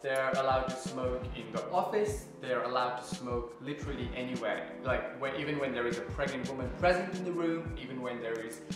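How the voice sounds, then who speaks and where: A man's voice: medium pitch, brisk, hardly any pauses. A young man from Nepal vlogging casually in his apartment, candid and chatty with lots of fillers. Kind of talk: casual vlog talk to camera. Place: apartment kitchen. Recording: very echoey room with music.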